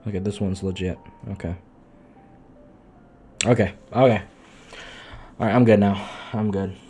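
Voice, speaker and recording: A man live streaming, chatting casually off the cuff in a halting, muttering, sweary way. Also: on the low side, steady tone, dark tone, slightly rough, soft background music.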